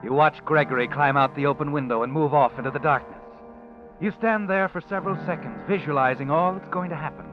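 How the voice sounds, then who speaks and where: A man narrating a radio drama in the second person, measured and ominous. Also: on the high side, highs cut off. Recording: old radio recording with music underneath.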